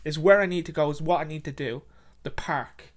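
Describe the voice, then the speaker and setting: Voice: mid-range voice, a little fast, close to an everyday voice. A storyteller playing a frustrated, indignant man who vents emphatically and repeats himself in a short story. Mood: angry, resolved.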